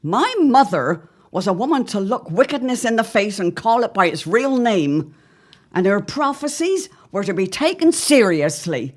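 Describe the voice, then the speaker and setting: A woman's voice: mid-range voice, big pitch swings, normal speed. A woman playing an anxious middle-aged wife of the 1910s in an audio drama, speaking in a formal, old-fashioned, earnest way. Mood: uneasy, earnest. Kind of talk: period audio drama acting.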